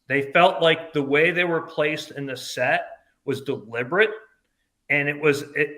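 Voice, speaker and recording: A man in his fifties from upstate New York, chatting casually as a podcast guest in a loud voice that projects. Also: on the high side. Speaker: guest, concert fan.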